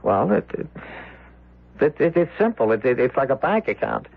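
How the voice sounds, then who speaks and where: Earnest young American idealist, mid-pitched, intense, brooding, defiant, radio drama, tinny vintage broadcast. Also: male voice, big pitch swings, moderate pace, gravelly. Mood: patient, earnest. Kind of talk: old-time radio drama acting.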